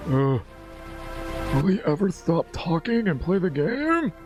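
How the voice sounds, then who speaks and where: A man talks off the cuff to his live-stream audience as he plays. His voice sits fairly high and jumps around in pitch with animated inflection. He is informal and sweary, with music playing softly behind him. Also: measured pace. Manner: informal, slangy and profane, laughs easily. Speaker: game streamer. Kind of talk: casual live-stream chat while playing a game.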